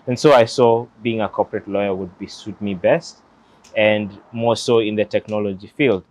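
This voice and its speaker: A man's voice: on the low side, steady tone, normal speed, darkish tone. A young man in his late twenties with a Kenyan accent, telling his story to an interviewer in an earnest, reflective way, recorded outdoors on a lapel mic. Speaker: interview guest, lawyer. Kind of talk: relaxed personal storytelling. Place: outdoors beside a glass building.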